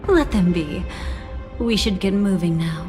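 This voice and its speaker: Slow, enigmatic, teasing game woman. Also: on the low side, animated. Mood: amused, teasing. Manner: measured, languid, knowing. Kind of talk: video game voice acting. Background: game music.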